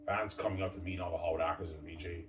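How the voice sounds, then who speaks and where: A man's voice: sits low, little pitch movement, racing, distant mic. A man in his thirties talking casually and repetitively to his viewers, looping back on the same phrases. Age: 30s. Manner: repetitive, loose, addresses viewers as guys. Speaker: vlogger. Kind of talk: casual rambling monologue. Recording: echoey room, off-mic, background noise.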